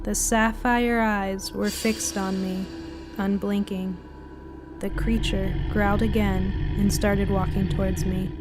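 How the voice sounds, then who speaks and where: A woman reading a scripted spooky short story as narrator, in a dramatic, deliberate way. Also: medium pitch, flat delivery. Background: music bed.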